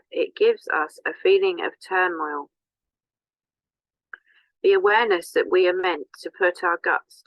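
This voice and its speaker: A woman giving a solemn, measured dramatic reading in a deliberate manner. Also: fairly low pitch, fairly bright tone, no echo, lo-fi sound.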